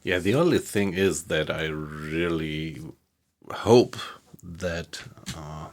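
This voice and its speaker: Low, gravelly German man streaming a game. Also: slow pace. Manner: relaxed, hesitant, often chuckling. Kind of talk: casual chat with viewers while gaming.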